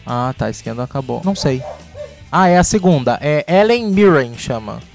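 A man co-hosting a podcast, chatting casually and playfully about TV series with jokes and animated asides. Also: mid-range voice, normal speed, vocal fry, faint music behind. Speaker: podcast co-host.

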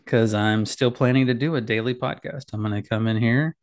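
A man hosting his solo podcast from a small home office on a boom mic, chatting casually in a rambling, self-deprecating way full of fillers and restarts, with a slightly nasal voice. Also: a little fast. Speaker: podcast host. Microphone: boom mic with headphones.

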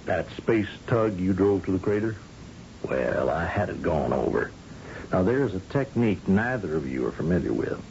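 Folksy commanding space governor, low mellow voice, probing and patronizing, dull old radio recording. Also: male voice, very animated, normal speed, gravelly, a little hiss or hum, exaggerated voice. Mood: pressing, shrewd. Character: commanding male space colony governor, shrewd, folksy, interrogating. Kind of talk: old-time radio drama acting.

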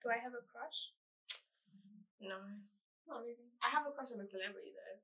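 A woman's voice: on the high side, brisk, fairly bright tone. A young woman from Kenya chatting casually and teasingly as she reads out challenge questions. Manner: playful, teasing. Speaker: vlogger asking challenge questions. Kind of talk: casual question-and-answer chat. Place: echoey room. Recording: echoey room.

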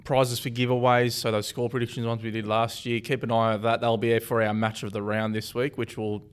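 This is a man hosting a sports podcast. His voice is smooth and clean. He talks casually and loosely, and he often doubles back to correct himself. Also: medium pitch, fairly quick. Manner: relaxed, rambling, self-correcting. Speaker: podcast host.

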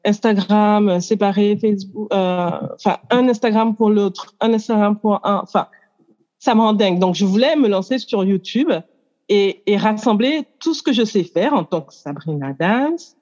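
A woman's voice: medium pitch, moderate pace, a little mellow. Woman in her 30s rambling a bit, lots of euh, slightly muffled mic. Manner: hesitant, many fillers and restarts. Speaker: coaching client. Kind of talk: casual chat. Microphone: handheld mic.

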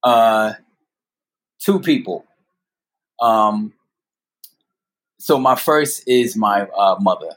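A man in his fifties telling personal stories as a podcast guest, speaking earnestly and haltingly, repeating words for emphasis. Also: lowish voice, animated, fairly bright tone. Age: 50s. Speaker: guest storyteller. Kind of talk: personal storytelling in a conversational interview.

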